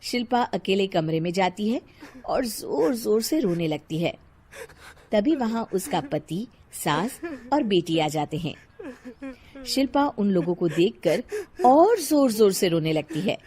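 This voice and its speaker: A woman's voice: mid-range voice, big pitch swings, a little slow, crowd noise. A woman narrating a scripted moral story as its storyteller.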